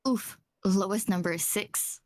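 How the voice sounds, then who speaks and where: Slow-talking woman playing tabletop games. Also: medium pitch, steady tone, a little mellow. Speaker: player in a tabletop game. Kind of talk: casual game chat.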